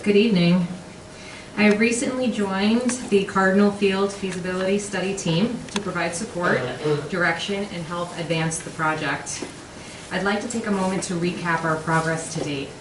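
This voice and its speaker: Woman, lowish voice, formal measured presentation, distant, echoey, noisy. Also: fairly even intonation. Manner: measured, read from prepared notes. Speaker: project lead presenting an update. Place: meeting room. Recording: echoey room from far off, noisy.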